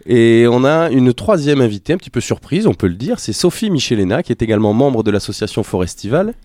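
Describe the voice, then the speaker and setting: A man hosting a local radio talk show in the studio, speaking haltingly with many fillers and repeated words. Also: medium pitch, sing-song, dark tone, no room sound. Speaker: radio host.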